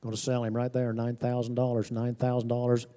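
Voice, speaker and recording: A man working as an auctioneer, calling bids in a rapid rhythmic auction chant. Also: mid-range voice, monotone, mellow.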